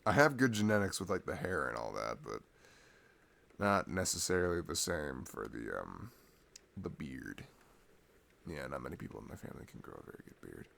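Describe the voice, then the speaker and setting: Low, creaky-voiced, affectionate boyfriend played by a man. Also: big pitch swings, moderate pace, fairly bright tone, a little hiss or hum, heavily acted. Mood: playful, relaxed. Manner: rambling, tender, casual and teasing. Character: sweet, playful, affectionate boyfriend cuddling his partner. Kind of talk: ASMR boyfriend roleplay, improvised intimate chat.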